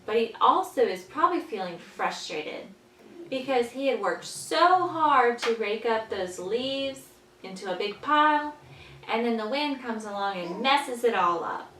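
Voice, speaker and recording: A woman teaching young children, patiently explaining in simple words and pausing after questions, as in a story lesson. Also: a little high, expressive, a bit bright. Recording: echoey room, distant mic, faint noise.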